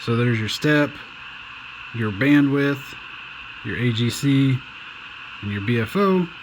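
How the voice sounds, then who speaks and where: Man, unhurried pace, mid pitch, relaxed plainspoken demo, faint background hiss. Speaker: radio reviewer. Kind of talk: casual hands-on product demo.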